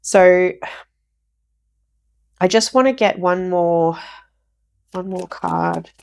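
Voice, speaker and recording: A woman giving a casual tarot reading for viewers, croaky from a recent flu, rambling and repeating herself. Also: lowish voice, fairly even intonation, extremely slow, a little mellow. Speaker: tarot reader.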